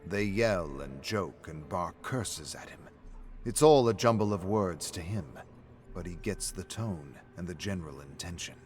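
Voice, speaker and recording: A man's voice: low-pitched, lively intonation, measured pace. A man giving a scripted, dramatic narration of a gritty game story. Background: music.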